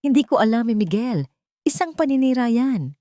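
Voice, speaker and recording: A woman's voice: fairly high pitch, sing-song, fairly quick, warm tone, no room sound, studio quality, voice pushed up. A woman voicing a distraught mother and wife, pleading in shaken denial in a dramatized story. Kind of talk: audio drama storytelling in Tagalog.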